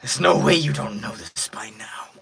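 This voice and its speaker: Breathy, battered anime fighter, theatrically menacing. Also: male voice, mid-range voice, sing-song, a little hiss or hum, heavily acted. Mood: menacing, hushed. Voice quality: breathy, shaky whisper rising to strained shouts. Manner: menacing, dramatic pauses, drawn-out words. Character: battered male anime fighter taunting a villainous curse. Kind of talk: anime fan dub acting.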